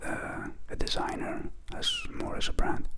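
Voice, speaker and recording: Guy whispering close to the mic, slow soft asmr q&a, lots of ums. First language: Greek. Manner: soft unhurried whisper with many fillers. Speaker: ASMR channel host.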